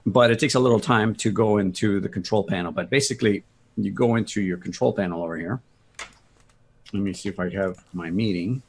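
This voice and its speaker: A man with a gravelly, husky voice giving a casual software walkthrough on a video call, thinking aloud hesitantly. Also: on the low side, brisk, a little hiss or hum. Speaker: guest demonstrating software.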